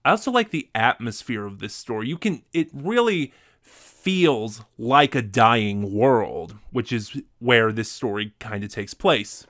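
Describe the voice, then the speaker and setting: A man speaks as a reviewer in a casual, conversational style. His delivery is a little halting, as if working out his thoughts aloud, and the sound is dry with little room in it. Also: mid-range voice, lively intonation, fairly bright tone, no room sound. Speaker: reviewer ranking favorite audio dramas.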